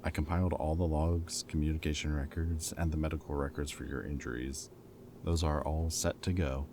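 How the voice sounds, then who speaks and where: Guy acting as a reformed villain, super low voice, teasing and caring, chatty audio roleplay, bit of background noise. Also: little pitch movement, moderate pace. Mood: casual, teasing. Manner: casual, wry, teasing banter with sighs and chuckles. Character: teasing, caring male ex-villain planning with old hero friend. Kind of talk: intimate roleplay audio drama, conversational.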